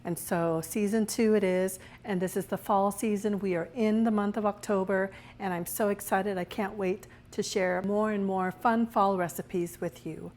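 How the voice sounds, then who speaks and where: A woman hosting her own cooking show, her voice a little raspy as she recovers from a cold, chatting through each step as she cooks. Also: mid-range voice, fairly even intonation, moderate pace, hardly any pauses, a little mellow. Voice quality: slightly raspy. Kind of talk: casual cooking demonstration.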